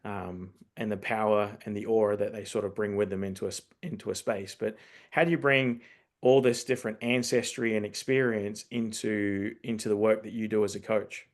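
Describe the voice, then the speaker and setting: A man's voice: on the low side, fairly even intonation, moderate pace. Man rambling thoughtfully as interview host. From New Zealand. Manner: rambling, thinks aloud, circles back to rephrase. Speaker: host, coach developer. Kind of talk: casual conversational interview.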